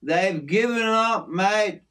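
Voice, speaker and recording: Man voicing a blunt, high-pitched Aussie bushman. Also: slow, fairly bright tone. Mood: amused. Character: hearty, teasing Australian bushman mate. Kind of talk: acted character in a narrated memoir.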